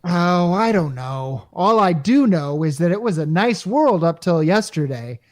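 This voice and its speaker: An actor playing a frantic everyman repairman and husband in a radio comedy, excitable, slangy and stammering. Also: sits high, a little slow. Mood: exasperated. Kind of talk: 1950s radio comedy acting.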